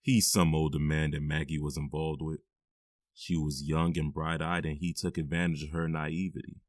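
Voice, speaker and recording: A man acting out a deep-voiced middle-aged father in an audio drama, firm and weary, given to heavy sighs. His low voice sits close and dry with no room around it. He scolds and comforts in the same steady way. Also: moderate pace, dry recording. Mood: confiding. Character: stern but loving middle-aged stepfather.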